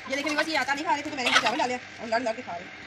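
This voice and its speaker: Woman in her 30s, family vlogger, slightly high voice, sore throat, phone video with audible background noise. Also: racing. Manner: chatty, addresses viewers directly. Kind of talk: casual home vlog. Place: home bedroom and rooftop with hens. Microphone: phone at arm's length.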